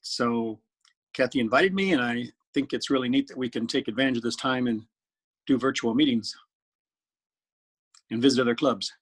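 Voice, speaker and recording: A man with a husky, slightly breathy voice at a middle pitch. He speaks in a relaxed, plain-spoken way, introducing himself as a club guest over a video call. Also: normal speed, long pauses.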